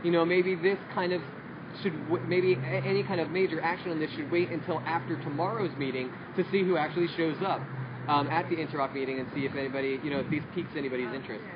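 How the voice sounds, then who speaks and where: A man reporting back for his discussion group at an outdoor protest assembly, halting and full of fillers. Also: on the high side, fairly even intonation. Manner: halting, with many fillers and restarts. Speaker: group spokesperson at a meeting. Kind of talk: casual report at a public assembly. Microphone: phone recording from the crowd. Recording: muffled phone audio with street noise.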